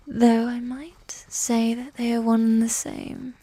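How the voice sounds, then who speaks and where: A woman playing a possessive, condescending evil warrior queen who dotes on her spouse, speaking in soft, breathy half-whispers in a medieval ASMR roleplay. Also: on the high side, no echo. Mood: gloating, teasing. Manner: slow, regal, formal phrasing with sighs.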